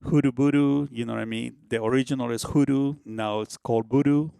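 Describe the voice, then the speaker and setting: A man's voice: mid-range voice, fairly even intonation, fairly quick, creaky, dark tone, smooth, dry recording, studio quality. A man in his fifties from Peru with a Peruvian Spanish accent, a shaman guest in a casual podcast interview, rambling and often asking "you know what I mean?". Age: 50s.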